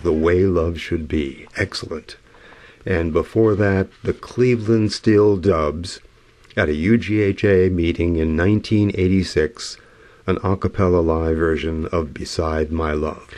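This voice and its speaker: A hoarse man hosting a music radio show, talking in an unhurried, conversational way. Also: low-pitched, steady tone, darkish tone, no room sound. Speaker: radio host. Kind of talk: relaxed music radio links.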